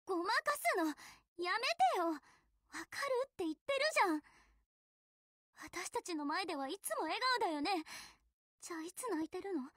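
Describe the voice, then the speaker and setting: Very high-voiced, direct teenage girl. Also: a bit bright, no echo, clean studio sound, exaggerated voice. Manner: casual, direct, emotionally pressing. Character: perceptive, casual teenage girl idol, earnest and caring. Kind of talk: Japanese mobile game visual novel acting.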